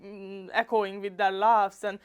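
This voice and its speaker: A woman's voice: medium pitch, flat delivery, measured pace, clean tone. A young woman interviewing a teacher, chatty and a little hesitant. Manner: chatty, hesitant, with small laughs. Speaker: student interviewer. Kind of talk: casual interview.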